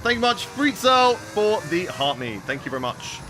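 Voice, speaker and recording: A man's voice: high voice, sing-song, moderate pace, noisy background. A young man casually chatting with viewers while he plays a racing game on a live stream.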